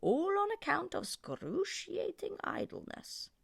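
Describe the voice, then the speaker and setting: Brief: female reader as magical desert djinn, calm authority, measured and slow. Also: very high voice, gravelly, dry recording, voice pushed up, heavily acted. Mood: stern.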